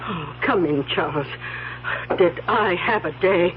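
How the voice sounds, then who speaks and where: An actress playing a flustered, suspicious older woman who hoards her money, fretful and halting in an old radio mystery. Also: lowish voice, natural voice. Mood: chatty. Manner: fretful, halting, repeating herself. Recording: dull, noisy old radio broadcast.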